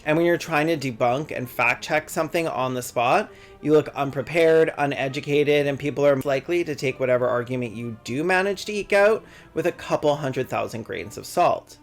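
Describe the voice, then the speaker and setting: A man's voice: on the high side, moderate pace, faint music behind. A young man delivering a scripted video essay, reading quotes and dropping sarcastic, profane jokes. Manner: sardonic, profane asides.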